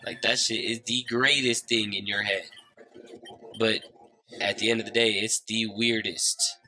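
A man's voice: on the low side, little pitch movement, unhurried, bright, forceful tone, dry recording. A young man with a slightly stuffed-up, nasal voice gives loose, profane, joking commentary to his viewers while playing a game. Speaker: gaming YouTuber. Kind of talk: casual Let's Play commentary. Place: small room lit by a side window. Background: game music underneath.

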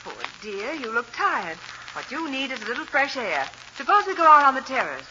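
A genteel, caring wife. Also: female voice, sits high, big pitch swings, fairly quick. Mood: fond. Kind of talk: 1940s radio acting. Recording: dull, hissy old radio recording.

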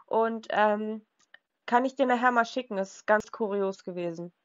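A woman's voice: medium pitch, moderate pace. A woman chatting casually on the phone, hesitant and matter-of-fact. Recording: dull lo-fi phone call.

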